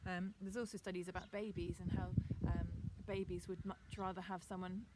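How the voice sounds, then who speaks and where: A woman teacher with a received pronunciation accent gives a chatty, relaxed video lesson outdoors in her garden, with frequent ums. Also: on the low side, a little fast, heavy background noise.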